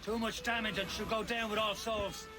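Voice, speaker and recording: A practical, cautious ship's mate, played by a man, urging restraint in a game scene. His voice rides high and pressing. Loud score music plays under him. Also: flat delivery, normal speed, close to an everyday voice. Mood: urgent. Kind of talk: video game cutscene acting.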